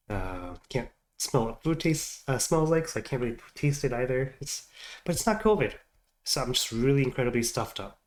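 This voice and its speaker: A middle-aged man with a congested, stuffed-up voice talks casually into a home desk mic. He rambles along, trailing off and restarting with plenty of ums and uhs. His pitch sits in an ordinary middle range for a man. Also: animated, measured pace, a little mellow. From United States. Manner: rambling, hesitant with many fillers. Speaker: reaction video host. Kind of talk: casual chat.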